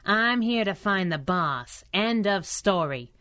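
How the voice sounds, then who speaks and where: A woman's voice: medium pitch, lively intonation, slow pace, bright, forceful tone. A woman playing a brash, fearless, slangy superhero sidekick in a 1930s-style radio adventure serial. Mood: mocking, cocky. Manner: sassy, slangy, tough-talking.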